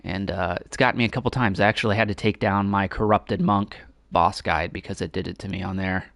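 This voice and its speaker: A raspy-voiced man hosting a casual gameplay walkthrough, speaking close to his mic and keeping his voice down. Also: deep voice, fairly even intonation, fairly quick, fairly bright tone. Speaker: gaming walkthrough host. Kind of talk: casual live gameplay chat. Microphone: console headset or close mic.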